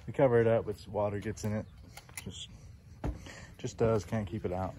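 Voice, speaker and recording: A young American man giving a plain-spoken, informal vlog narration as a farmer out in his yard, talking into a handheld phone. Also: on the low side. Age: young adult. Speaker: farmer vlogger. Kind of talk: casual vlog narration while showing farm work. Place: outdoors on a farm among grain bins and machinery. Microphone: handheld phone at arm's length. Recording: muffled phone audio, loud machinery and outdoor noise.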